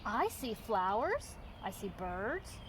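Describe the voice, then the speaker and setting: A woman voicing an earnest, self-doubting female hippopotamus in a children's storybook, with a gentle, plaintive manner. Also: medium pitch, big pitch swings, takes it slowly, slight background noise. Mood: wistful, sad. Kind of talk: children's storybook read-aloud.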